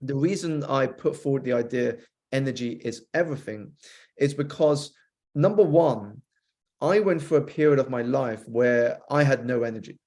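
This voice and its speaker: A man with a British accent that has been softened by an American influence, a guest author on a podcast, telling a reflective story fluently with some repeated starts. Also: mid-range voice, moderate pace. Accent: British, softened by years with an American spouse. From United Kingdom.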